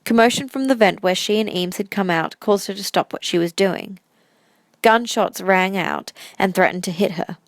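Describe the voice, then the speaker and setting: A woman's voice: mid-range voice, normal speed, dry recording. Woman narrating, close and dry. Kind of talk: scripted story narration.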